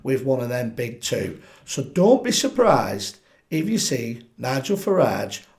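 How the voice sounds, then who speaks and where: A man hosting his own political commentary channel, croaky from a chest infection, talking animatedly and putting questions straight to his viewers. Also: medium pitch, unhurried. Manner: animated, asks viewers directly, frequent restarts. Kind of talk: opinionated solo commentary to camera.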